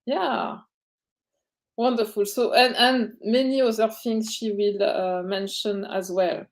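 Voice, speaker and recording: A woman from Europe, a coach hosting an online interview, speaking haltingly with many fillers and restarts over a video call. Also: mid-range voice, fairly even intonation.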